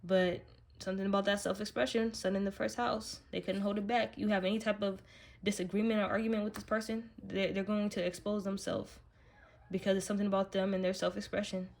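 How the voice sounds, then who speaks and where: A woman's voice: mid-range voice, little pitch movement, fairly quick, vocal fry, darkish tone. A woman giving a casual spiritual card reading, speaking directly to the listener in an emphatic, slangy, profane way. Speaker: tarot card reader, channel host.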